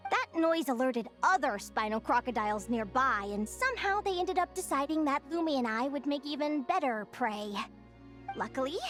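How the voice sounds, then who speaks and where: Cheerful young naturalist for a game: high-pitched, very lively intonation, earnest and chatty. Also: female voice. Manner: expressive, earnest, chatty, with small hesitations. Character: cheerful young female naturalist adventurer, eager storyteller. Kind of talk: animated video game character dialogue. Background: background music.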